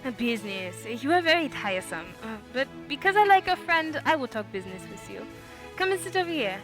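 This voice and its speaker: A woman's voice: high voice, big pitch swings, fairly quick, fairly bright tone, faint music behind. A woman playing a flirtatious, imperious society adventuress in a radio play, with a coquettish, theatrical manner. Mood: playful, pouting.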